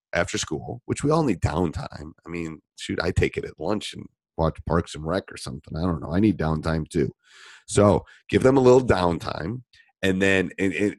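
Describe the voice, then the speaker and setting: A middle-aged man, a basketball coach, answering listener questions in a rambling, casual way, his voice roughened by a cold. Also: deep voice, no room sound. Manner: rambling, conversational, with many fillers and restarts. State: voice deeper than normal from a cold. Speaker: basketball coach and podcast host.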